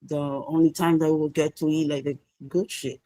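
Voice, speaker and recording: Mellow Cuban-accented woman telling stories casually. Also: sits low, flat delivery, moderate pace, dry recording. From Cuba. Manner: informal, peppered with swearing. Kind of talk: casual personal storytelling.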